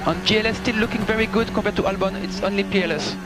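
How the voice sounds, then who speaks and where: Male race engineer, high-set voice, clipped repeated calls on team radio, loud music-like backing. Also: steady tone, rapid-fire, rough recording. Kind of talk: terse team radio instructions. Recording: motorsport radio with loud background sound.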